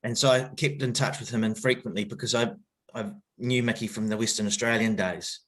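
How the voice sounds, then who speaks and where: A man's voice: fairly low pitch, steady tone, fairly quick, a bit bright. A middle-aged man with a New Zealand accent, telling his story in a podcast interview, hesitant and full of fillers and restarts but open and reflective. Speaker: guest, cricket coach.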